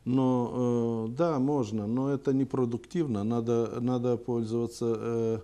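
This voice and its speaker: A man giving unscripted interview answers as a TV guest, speaking in a halting, deliberate way. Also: mid-range voice, level tone, dark tone. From Gagauzia, Moldova. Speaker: TV programme guest.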